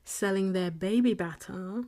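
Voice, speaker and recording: A woman's voice: medium pitch, unhurried, mellow. A young woman hosting a casual solo podcast, chatty and self-deprecating, with jokey asides as she reads through her notes. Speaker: podcast host.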